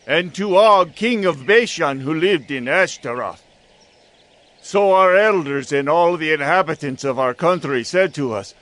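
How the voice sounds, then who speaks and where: A man's voice: on the high side, fairly bright tone. A man playing a humble, anxious envoy in a dramatized audio Bible, pleading earnestly.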